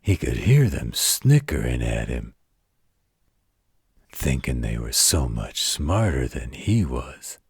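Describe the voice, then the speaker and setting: Gruff male werewolf guardian acted by a man, extremely low and raspy, tender folksy bedtime storyteller for an intimate audio roleplay. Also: sing-song, dry recording, clean studio sound, heavily acted. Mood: folksy storytelling. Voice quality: very deep, gravelly, husky. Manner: folksy, unhurried, gently wry.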